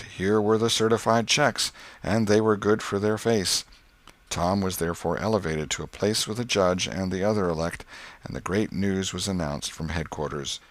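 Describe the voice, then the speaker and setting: A man narrating a literary story as an audiobook, in a measured, expressive and wry manner. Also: lowish voice, no echo.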